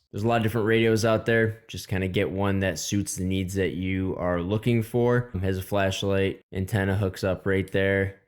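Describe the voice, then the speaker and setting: Lowish-voiced man explaining gear. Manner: plain, matter-of-fact explaining. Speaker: host. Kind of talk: casual gear walkthrough.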